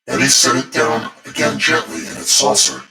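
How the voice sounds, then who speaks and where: A man narrating a spooky bedtime story as its host, in a deliberate, theatrical manner. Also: mid-range voice, fairly even intonation, vocal fry, sharp tone. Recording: echoey, distant voice over music. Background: music.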